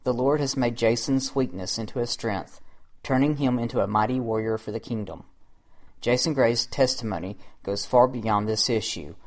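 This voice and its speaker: A man's voice: medium pitch, normal speed. A man reading a scripted introduction as the host of an interview program.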